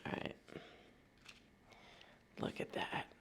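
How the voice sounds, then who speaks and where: A woman with a cold, sounding sniffly, giving a casual, rambling tarot reading full of slang and fillers. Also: drawn-out words. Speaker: tarot reader.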